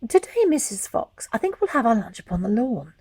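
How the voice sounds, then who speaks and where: A woman's voice: on the high side, big pitch swings, fairly quick, a little mellow, breathy edge, no room sound, heavily acted. A woman voicing a gallant, eager fox husband in a storybook, courteous and full of exclamations. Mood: cheerful.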